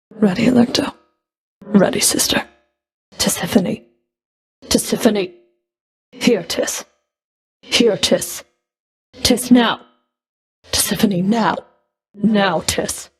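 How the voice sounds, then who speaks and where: A woman playing a cold, scornful Fury of the underworld in a video game, taunting with deliberate, contemptuous menace and a breathy edge. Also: medium pitch. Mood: fierce, commanding. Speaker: video game villain voice actor. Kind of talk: video game combat voice lines.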